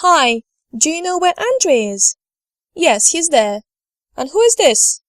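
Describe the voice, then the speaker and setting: A woman gives a slide lecture in a measured, unhurried way, modelling each word carefully so learners can repeat after her. Her voice sits fairly high. The recording is dry and close, with no room sound. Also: big pitch swings, no room sound. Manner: slow, careful delivery, models words for repetition. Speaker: language teacher.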